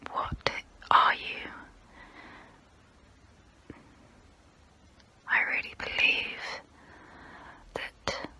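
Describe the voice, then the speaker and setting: A woman playing a centuries-old female vampire, guilt-ridden and gentle yet predatory, in an intimate, breathy, halting ASMR role-play whisper. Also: full character voice. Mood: entranced. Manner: slow, halting, intimate, soothing. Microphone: close-up microphone.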